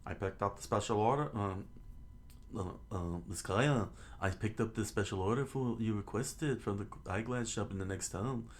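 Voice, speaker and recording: A man's voice: on the low side, normal speed, a little hiss or hum, close to an everyday voice. A man voicing a courteous, devoted butler with a mock British accent and formal manner. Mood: deferential. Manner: formal, deferential.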